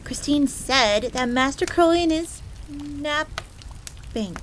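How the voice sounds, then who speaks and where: Woman acting as fox maid, high voice, stiff formal phrasing, proud yet easily flustered, audio roleplay, faint rain in background. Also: takes it slowly. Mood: flustered, defensive. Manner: formal, stiff, speaks of herself in third person. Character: prim, flustered, jealous tsundere fox maid, loyal servant. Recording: steady rain noise in background. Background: rain ambience.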